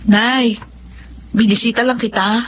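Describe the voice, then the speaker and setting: An actress playing a blunt, skeptical older sister in a radio drama. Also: female voice, on the high side, steady tone, unhurried, dull audio, slight background noise, close to an everyday voice. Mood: worried.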